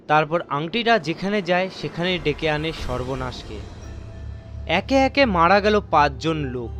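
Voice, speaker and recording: Fairly high-voiced man narrating horror. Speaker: story reader and narrator. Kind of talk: dramatic scripted narration of a horror story.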